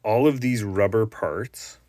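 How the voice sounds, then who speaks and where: Very deep, slow-talking man. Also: darkish tone, a little hiss or hum. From Canada. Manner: rambling, halting, lots of fillers. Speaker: hobbyist restoring a tape machine. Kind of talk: casual show-and-tell.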